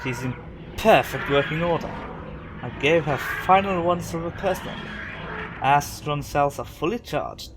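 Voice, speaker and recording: A man's voice: on the high side, normal speed, noisy background, close to an everyday voice. Wary, dutiful dock mechanic played by a man. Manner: plain-spoken, deferential, guarded. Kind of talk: audio drama acting.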